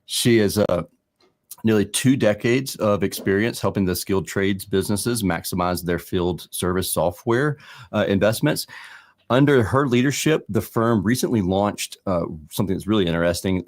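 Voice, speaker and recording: A man co-hosting a podcast over a remote call, talking casually with a teasing, joking manner. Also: low-pitched. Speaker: podcast co-host. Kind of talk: casual podcast introduction and banter.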